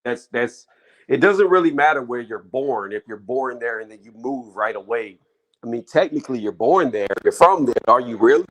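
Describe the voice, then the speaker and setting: A man hosting a casual boxing talk show, reasoning aloud in a relaxed conversational way. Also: medium pitch, moderate pace, rough recording.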